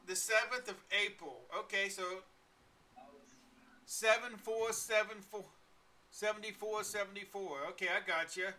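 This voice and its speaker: A man's voice: sits high, fairly even intonation, unhurried, long pauses, pushed and bright, distant mic. A man in his forties hosting a casual live-stream chat, rambling with lots of fillers and chuckles. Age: 40s. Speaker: podcast host.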